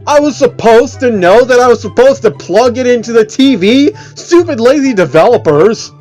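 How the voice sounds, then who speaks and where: A man's voice: very high voice, animated, moderate pace, soft background music, pitched above the performer's own voice. A man voicing an obnoxious, hot-tempered male gamer in a whiny, exaggerated manner for a comic story reading. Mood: frustrated ranting. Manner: whiny, jeering, shouty, exaggerated outbursts. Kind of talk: mocking creepypasta character voice.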